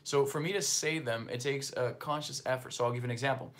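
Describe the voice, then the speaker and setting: A man's voice: mid-range voice, fairly even intonation, fairly quick. A Brazilian-born man with a slight Brazilian accent hosts a casual livestream, chatting loosely and reading viewer comments. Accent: slight Brazilian Portuguese. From northeastern Brazil. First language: Portuguese. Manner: rambling, filler-heavy, loose and conversational. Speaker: livestream host.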